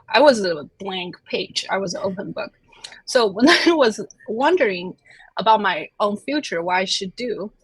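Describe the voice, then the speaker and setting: Husky-voiced woman from China. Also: medium pitch, dry recording, low-quality recording. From China (Chengdu). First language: Chinese. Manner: chatty, self-deprecating, laughs often. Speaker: interview guest, plant scientist. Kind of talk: casual storytelling interview.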